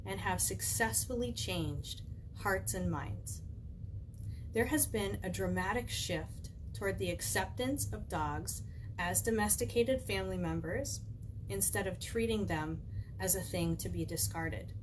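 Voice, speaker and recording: A woman's voice: medium pitch, measured pace. Woman author reading, noisy home recording. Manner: informal, conversational. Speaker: author reading own audiobook. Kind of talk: reading aloud with casual chatty asides. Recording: clear background noise, home recording.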